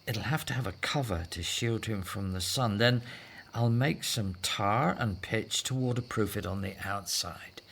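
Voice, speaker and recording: A man's voice: lowish voice, measured pace, creaky, a bit bright, breathy edge, heavily acted. An actor in a scripted audio drama playing a devout Hebrew husband and father, earnest and persuasive. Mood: reassuring, persuasive.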